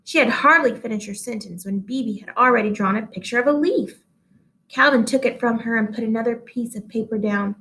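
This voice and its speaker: A woman with a fairly high voice narrates a children's book. Her read-aloud style is expressive, aimed at young listeners. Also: fairly quick, poor audio.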